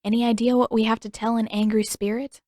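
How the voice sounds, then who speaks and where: A woman's voice: fairly high pitch, little pitch movement, fast, a little mellow, no room sound, studio quality, pitched above the performer's own voice, lightly acted. A woman voicing a bossy, teasing teenage girl in an audiobook, with a pointed manner. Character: bossy, teasing fourteen-year-old girl.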